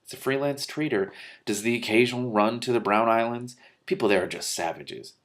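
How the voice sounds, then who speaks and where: A man's voice: mid-range voice, fairly quick, fairly bright tone, close to an everyday voice. A man voicing a dry, dismissive one-eyed thief in a storybook, reporting in a matter-of-fact way.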